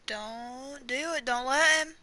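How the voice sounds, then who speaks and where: A woman giving casual playthrough commentary, thinking aloud haltingly over game music. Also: sits high, pushed and bright. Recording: game music faintly behind the voice.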